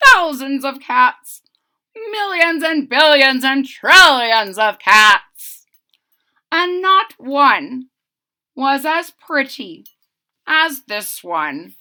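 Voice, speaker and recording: A woman's voice: high voice, sing-song, extremely slow, pushed and bright, pitched above the performer's own voice, exaggerated voice. Woman reading as an emphatic, marveling very old man. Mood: proud, emphatic. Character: very old man, earnest, marveling, fond of cats. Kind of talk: storybook read-aloud character voice.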